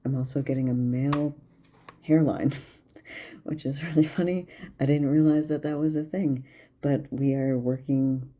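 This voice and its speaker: A man's voice: mid-range voice, steady tone, a little slow. A tired young man talking candidly in a casual video diary, rambling with lots of fillers. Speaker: vlogger. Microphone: camera propped near a plant. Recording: dull lo-fi camera audio.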